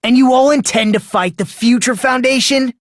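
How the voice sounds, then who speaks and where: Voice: high voice, moderate pace, dry recording, clean studio sound, lightly acted. An actor voicing a polite but unsettling teenage boy in a video game, self-deprecating with a smug, condescending streak. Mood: scornful.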